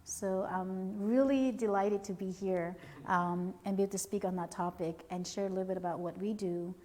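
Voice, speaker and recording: Measured woman, echoing hall. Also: mid-range voice, fairly even intonation, warm tone, a little hiss or hum. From born in Cambodia, raised in the United States. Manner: measured and polite, many "um" pauses. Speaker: guest, company founder and CEO. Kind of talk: interview answers. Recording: long hall reverb.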